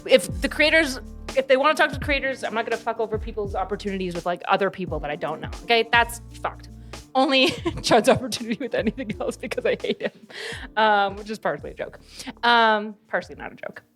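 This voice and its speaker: A young woman streamer chatting casually as she reacts to clips, animated and laughing, swearing now and then and mimicking people. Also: on the high side, quick pace, faint music behind.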